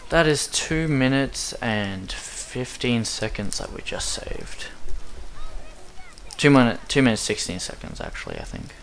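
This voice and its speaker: A man's voice: mid-range voice, very animated, unhurried, vocal fry, fairly bright tone, a little hiss or hum. A young Australian man with an Australian accent giving chatty, rambling commentary while speedrunning a game. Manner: chatty, self-deprecating, rambling asides. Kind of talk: casual commentary over gameplay.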